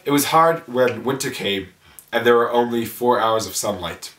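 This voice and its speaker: A young man speaking calmly about his two years at school abroad. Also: mid-range voice, normal speed, a little hiss or hum. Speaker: student alumnus. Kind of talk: short testimonial snippets. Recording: distant mic in echoey room.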